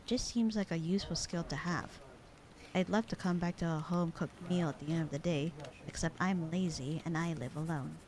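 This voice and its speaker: A woman playing a bored, sarcastic, slightly flirty secretary in a casual ASMR audio roleplay, with a dry, deadpan, teasing delivery. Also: lowish voice, natural voice, rough recording. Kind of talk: ASMR roleplay acting, casual one-sided conversation.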